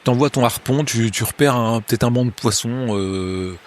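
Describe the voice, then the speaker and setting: A man running a tabletop horror game as game master, narrating scenes to a player in a halting way with many hesitations. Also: medium pitch, a little hiss or hum. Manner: hesitant, many filler sounds and restarts; second-person scene narration.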